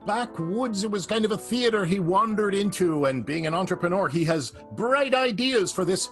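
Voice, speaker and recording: A man's voice: high-pitched, lively intonation, moderate pace, fairly bright tone, soft background music. A man narrating an improvised radio play in a wry, conversational way.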